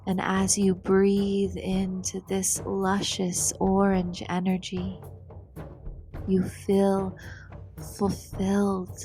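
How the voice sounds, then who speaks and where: A woman narrates a guided meditation in short, soothing phrases with long pauses. Her delivery is extremely slow and flat in pitch, with a creaky edge and breathy phrase endings. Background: meditation music.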